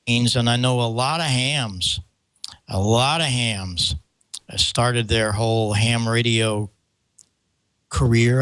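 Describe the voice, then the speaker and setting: An older American man talking casually as a show host about gear, rambling and halting with stammered restarts. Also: mid-range voice, a bit bright, no room sound, low-quality recording. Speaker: show host and microphone maker. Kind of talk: casual show talk, explaining audio gear.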